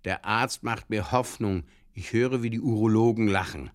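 A man playing a despairing drinker who reads out absurd diary entries in terse, deadpan fragments, in a comic audio drama. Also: lowish voice, normal speed, lightly acted. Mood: deadpan, uneasy.

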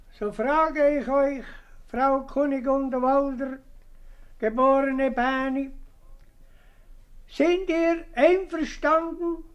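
An actor playing a formal, long-winded magistrate with a Swiss German accent in a 1950s radio comedy. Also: male voice, very high voice. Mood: ceremonious. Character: ponderous, official magistrate and town councillor.

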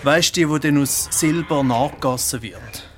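A man's voice: medium pitch, normal speed, a little hiss or hum, close to an everyday voice. A man with a Swiss accent playing a good-natured, thoughtful monk craftsman who thinks aloud in a children's radio play. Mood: pleased, friendly.

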